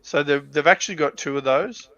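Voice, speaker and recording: A man's voice: fairly high pitch, a little fast, pushed and bright, dry recording, dull audio. An Australian man chatting casually on a hobby livestream about military vehicles.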